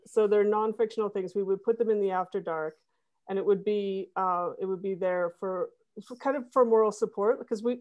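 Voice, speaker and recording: A woman's voice: mid-range voice, darkish tone. A woman guest on a podcast, explaining things casually and conversationally in a wordy, rambling way.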